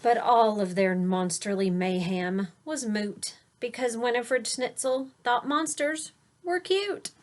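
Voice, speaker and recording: A woman reading a children's picture book aloud expressively, stressing key words and pausing to explain things simply for young listeners. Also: fairly high pitch. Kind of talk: storybook read-aloud with simple explanatory asides.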